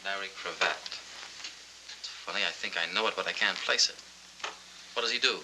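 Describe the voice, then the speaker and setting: An actor playing a genial, composed club owner in a 1940s film noir, on a noisy old soundtrack. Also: male voice, sits low, brisk. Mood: puzzled, probing.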